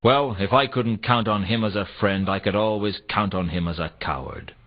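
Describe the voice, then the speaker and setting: An actor playing a world-weary, sardonic private detective in a noir video game, with a wry, deadpan, pressing delivery. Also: male voice, fairly low pitch, normal speed, natural voice. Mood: wry, dismissive. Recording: dull, muffled old console game audio.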